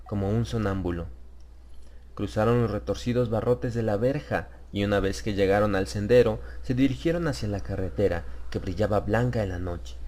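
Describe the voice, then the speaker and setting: Male audiobook narrator, lowish pitch, dramatic scripted delivery, horror storytelling. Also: darkish tone.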